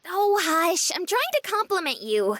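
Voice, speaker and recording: A woman voicing a bubbly, affectionate young woman in a visual novel, playful, girlish and expressive. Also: very high voice, moderate pace, no room sound, exaggerated voice. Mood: teasing, fond.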